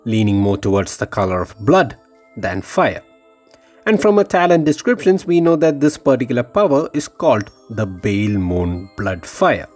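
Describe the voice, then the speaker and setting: A man's voice: medium pitch, a little mellow. A man reading a scripted game lore theory voice-over with dramatic, emphatic phrasing. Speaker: video game lore YouTuber.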